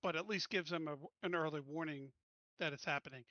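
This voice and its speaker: A man with a fairly high, bright, forceful voice lays out his group's plans in a tabletop game with decisive ease. His sound is dry and a bit dull. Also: a little fast, dry recording. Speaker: player. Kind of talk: casual chat in a tabletop game.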